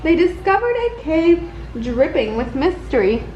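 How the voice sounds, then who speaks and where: A woman reading a children's picture book aloud in an expressive, deliberate storytelling manner. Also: high-pitched, dull audio. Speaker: storybook reader. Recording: roomy echo, faint background noise.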